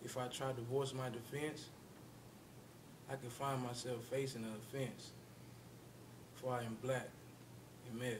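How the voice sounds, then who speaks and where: Man, solemn poetry recitation, slow and even delivery, rumbling noisy recording. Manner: measured, solemn, deliberate. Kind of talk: spoken-word poetry recitation. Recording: rumbling background noise.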